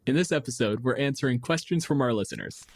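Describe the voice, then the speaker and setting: A man's voice: mid-range voice, lively intonation, fast talker, no echo, studio quality. A man from Canada co-hosting a podcast in casual discussion, with a blunt, informal way of talking. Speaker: podcast co-host.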